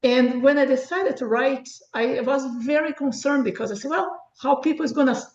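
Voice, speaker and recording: A woman's voice: high voice, a little fast, darkish tone. A middle-aged woman from Brazil, a native Portuguese speaker with a Brazilian accent, chatting enthusiastically as a guest author. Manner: enthusiastic, frequent restarts and laughs. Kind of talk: casual interview chat. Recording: echoey, dull lo-fi sound.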